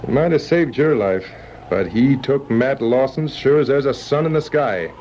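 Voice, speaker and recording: A man's voice: medium pitch, animated, moderate pace, a little mellow, natural voice. A man playing a stubborn, accusing frontier townsman in old radio drama style, rustic and insistent. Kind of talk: 1940s radio drama acting. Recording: dull, noisy vintage radio recording.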